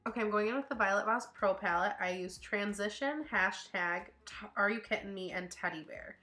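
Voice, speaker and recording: Stuffy-nosed woman with a bright voice. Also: medium pitch, fairly quick. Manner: chatty, upbeat, thinks out loud with fillers. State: stuffy nose from a cold. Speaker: beauty vlogger. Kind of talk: casual makeup tutorial voice-over. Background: faint background music.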